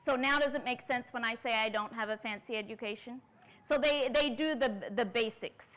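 Earnest woman telling her story at a conference, forties, a bit high-pitched, asks the crowd questions. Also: moderate pace, fairly bright tone. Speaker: conference speaker. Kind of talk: lecture. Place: large tent at an outdoor conference.

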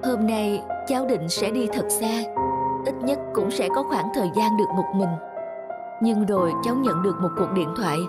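An actress playing a troubled, polite young wife, confiding earnestly and sorrowfully in an animated drama. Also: female voice, medium pitch, normal speed, over loud music, lightly acted.

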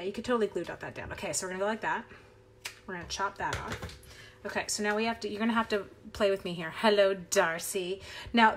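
Woman, middle-aged, craft demonstrator, upbeat and chatty, medium pitch, slight background noise. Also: fairly bright tone. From Canada. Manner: chatty, upbeat, talks while working and reads out viewer comments. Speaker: card-making demonstrator. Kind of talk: live crafting tutorial, chatting with viewers. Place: indoors at a craft desk.

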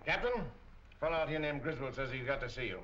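An actor playing a brusque, plain-spoken, dutiful police officer in a 1940s crime film. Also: male voice, mid-range voice, expressive, quick pace, a bit bright, close to an everyday voice. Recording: muffled, echoey, hissy old film soundtrack.

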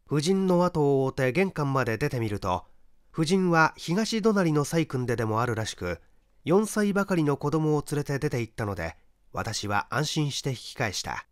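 A man reading a mystery story aloud as dramatic narration, measured and expressive with deliberate pauses. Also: a little high, dry recording, clean studio sound. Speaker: story reader, stage actor.

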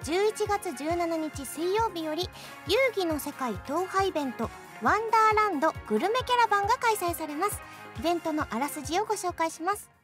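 A woman's voice: very high voice, expressive, fairly quick. A woman hosting a radio show, switching between casual chat and reading out game announcements. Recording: music behind the voice. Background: background music.

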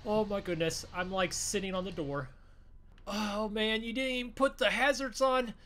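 Excitable man with a high, bright voice. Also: normal speed. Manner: excitable, exclamatory, bossy urging. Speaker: gaming video creator playing a truck roleplay. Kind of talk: improvised gaming roleplay banter. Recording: faint background noise.

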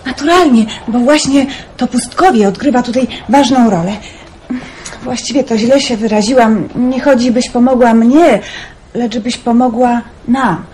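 An eager young woman pressing a friend for help, as an actress plays her. Her voice is high and bright in pitch, urgent and persuasive with little laughs. A faint hiss sits under the radio play. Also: unhurried, lightly acted, rough recording. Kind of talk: radio drama acting.

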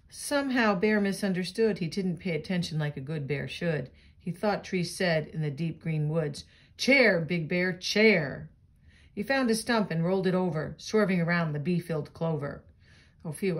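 A woman reading a children's picture book aloud in an expressive, child-directed way, with chatty asides. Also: on the low side. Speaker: storybook reader.

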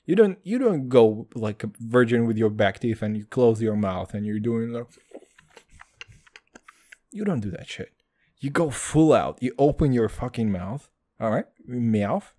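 Crude, excitable man ranting. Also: mid-range voice, a little slow. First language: Hebrew. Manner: crude, loud swings, calls listeners dude. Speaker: podcast host. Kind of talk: comedic solo podcast rant.

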